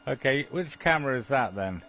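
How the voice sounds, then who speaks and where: A man's voice: medium pitch, animated, fairly quick, sharp tone. A British man in a rambling, chuckly casual chat, often muttering to himself as he operates an amateur television link.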